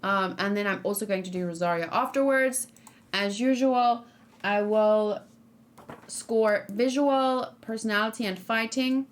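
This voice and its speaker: A woman's voice: mid-range voice, measured pace. Rambling woman reacting to a trailer. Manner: rambling, self-correcting, with frequent ums. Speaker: streamer reacting to a game trailer. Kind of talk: casual reaction commentary. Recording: faint background music.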